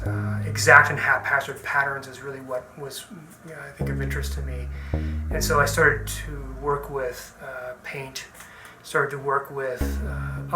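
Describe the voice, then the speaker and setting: Middle aged guy, artist chatting, pretty monotone, halting n thoughtful, echoey room w music behind. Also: mid-range voice. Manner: halting, reflective, repeats and rephrases words. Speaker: visual artist. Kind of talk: informal artist talk about own work. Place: indoor art studio. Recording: roomy echo, music underneath. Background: background music.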